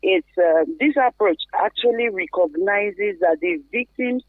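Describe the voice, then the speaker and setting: A woman's voice: medium pitch, measured pace. A woman with a Nigerian accent speaking as an expert guest in a radio interview by telephone. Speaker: expert guest, NGO officer. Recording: tinny, dry phone line.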